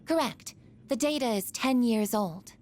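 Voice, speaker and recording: An actress voicing a formal, literal-minded android in a video game, precise and clipped. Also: female voice, a little high, animated, unhurried, darkish tone. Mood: matter-of-fact.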